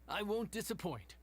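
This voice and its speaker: A man's voice: sits high, heavily acted. A man playing an easygoing, proud chef in a video game, talking in a colloquial, confident way. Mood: pleased. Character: easygoing, proud male chef who looks after his people. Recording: music under the voice.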